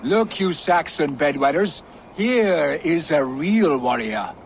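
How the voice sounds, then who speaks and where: A man's voice: fairly high pitch, animated, slow, slight background noise. An actor playing a crude male Viking warrior hurling coarse insults in a video game. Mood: taunting. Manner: taunting, coarse.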